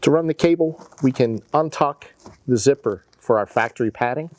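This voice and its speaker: A man's voice: mid-range voice, normal speed, a little mellow. An American man in his forties giving a casual, plain-spoken step-by-step tutorial as an installation instructor.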